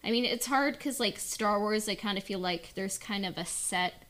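A woman's voice: medium pitch, normal speed, a bit bright. A woman in a casual podcast chat, hesitant and hedging, peppering her opinions with "like" and "you know". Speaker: podcast panelist discussing a book.

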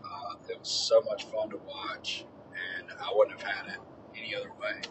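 A man chatting casually and excitedly during a road trip recap, recorded inside a moving vehicle. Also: lowish voice, normal speed. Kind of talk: casual chat. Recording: distant mic with road noise.

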